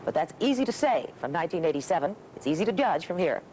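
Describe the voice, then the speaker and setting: A woman's voice: lowish voice, sing-song, fast, a little mellow, a little hiss or hum, rough recording. An American woman hosting a television documentary, reading scripted narration in rhetorical, clipped phrases.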